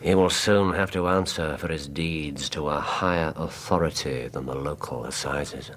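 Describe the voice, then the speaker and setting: An actor in a radio drama playing a shrewd, commanding detective, speaking with precise, probing authority. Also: male voice, a bass voice, moderate pace, fairly bright tone, a little hiss or hum. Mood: grave, firm. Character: shrewd, commanding male detective, coolly incisive and merciful.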